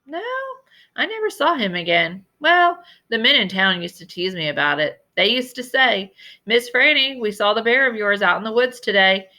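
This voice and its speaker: A woman voicing a genteel old lady librarian from a storybook, telling tales in a deliberate, dramatic way with emphatic repetition. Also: a little high, animated, pushed and bright, voice pushed up. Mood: playful, storytelling. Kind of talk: storybook character voice in a read-aloud.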